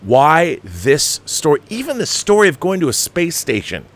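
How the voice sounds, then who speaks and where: A man's voice: on the high side, wildly swooping pitch, normal speed, fairly bright tone. Exasperated man ranting about films. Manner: exasperated, emphatic, profane. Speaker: host of a film commentary show. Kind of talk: opinionated movie review rant.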